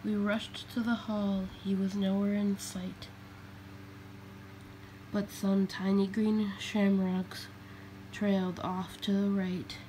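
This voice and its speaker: A woman with a medium-pitched voice reads a children's story aloud. She goes slowly, phrase by phrase, on a home recording with a little background noise. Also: flat delivery, mellow, poor audio. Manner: deliberate, phrase by phrase. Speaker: storybook reader. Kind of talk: children's picture book read-aloud.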